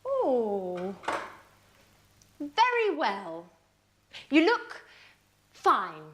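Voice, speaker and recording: A patient friend who coaxes and gets exasperated easily in a language-course sitcom. Her voice is high and swoops expressively. She speaks slowly and deliberately. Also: huge pitch swings, fairly bright tone, a little hiss or hum, full character voice. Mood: reassuring. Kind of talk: slow, clear scripted sitcom dialogue for learners.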